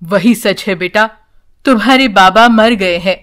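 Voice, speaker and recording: A solemn old grandfather from a village storybook, put on by a woman. The voice drops well below the storyteller's and moves slowly, with measured, kindly gravity. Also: mid-range voice, a bit bright, pitched below the performer's own voice, natural voice. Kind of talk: children's storybook character voice.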